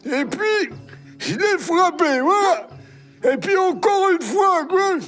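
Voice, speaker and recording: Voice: very high-pitched, lively intonation, slow, fairly bright tone, heavily acted. An actor voicing an indignant, boastful elderly grandfather in a cartoon, full of outraged exclamations. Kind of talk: animated cartoon acting. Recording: music behind the voice.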